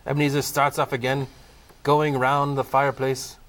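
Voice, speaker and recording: A man with a medium-pitched voice narrates a comic audio play. His delivery is dramatic scripted storytelling, broken into short, deliberate phrases. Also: flat delivery, fairly bright tone.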